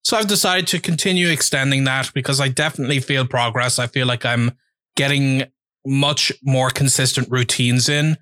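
A man talks through a personal update in a bright, forceful voice. He is candid and reflective and pauses often. The sound is close and dry, with no room around it. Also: mid-range voice, dry recording. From Ireland. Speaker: language-learning vlogger. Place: home.

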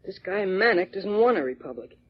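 A male actor plays a feisty teenage boy in a vintage radio adventure. He is slangy, defiant and tough-talking, with a husky youthful voice. The old recording sounds muffled. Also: sits high, animated, brisk. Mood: urgent, determined. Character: brash, feisty teenage boy cub reporter. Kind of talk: 1940s radio acting.

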